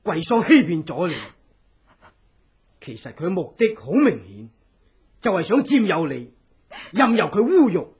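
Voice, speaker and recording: An actor playing an earnest, secretive revolutionary, speaking with urgent, theatrical persuasion in a radio drama. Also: male voice, high voice, big pitch swings, unhurried, long pauses. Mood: indignant. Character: earnest, secretive revolutionary, escaped political prisoner. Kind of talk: Cantonese radio drama acting. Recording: dull, lo-fi old radio drama.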